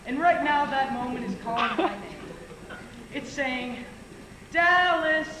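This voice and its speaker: A man's voice: very high-pitched, unhurried. A young man on stage playing a brash, goofy guy who delivers mock-preachy declarations in an amateur comedy. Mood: comic, preachy. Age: young adult. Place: theater stage, indoors. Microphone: distant audience camcorder. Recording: echoey stage, noisy camcorder audio.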